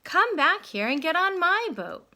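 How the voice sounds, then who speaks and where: A woman voicing a sulky, bossy twelve-year-old boy from a storybook, loud and demanding. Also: very high-pitched, very animated, a little slow, pitched above the performer's own voice, heavily acted.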